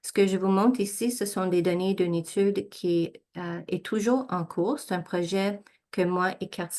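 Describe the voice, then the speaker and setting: A woman researcher giving an academic presentation, talking through slides in a measured way with frequent hesitations and self-corrections. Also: medium pitch, fairly even intonation, darkish tone. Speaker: researcher presenting study results.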